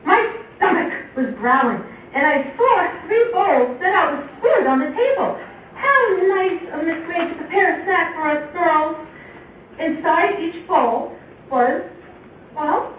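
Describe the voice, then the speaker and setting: A woman voicing a chatty, dramatic young girl in a children's puppet show, with an exaggerated, exclaiming manner and a girlish voice. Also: full character voice. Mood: weary, hungry. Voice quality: very high-pitched, girlish. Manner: exaggerated, chatty, exclaiming, asks the audience questions. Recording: muffled, echoey room, distant mic, faint noise.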